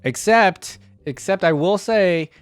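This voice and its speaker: A man giving casual reaction commentary as a host, talking in an animated, conversational way. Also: high-pitched, takes it slowly, dry recording.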